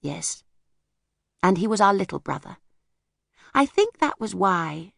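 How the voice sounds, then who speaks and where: A woman voicing a reserved, wistful older lady in an audiobook, speaking gently and hesitantly as she looks back. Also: mid-range voice, big pitch swings, measured pace, vocal fry, no echo, studio quality, heavily acted. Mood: reminiscing. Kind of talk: audiobook character voice.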